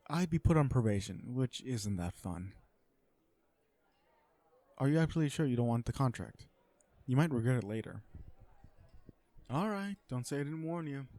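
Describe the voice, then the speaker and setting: Man playing a mellow-voiced, awkward villain on a date. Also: mid-range voice, no room sound, lightly acted. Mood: defensive, deadpan. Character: awkward, blunt, legal-minded male villain on a blind date. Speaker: voice actor in audio roleplay. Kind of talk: romantic comedy audio roleplay, one side of a dialogue.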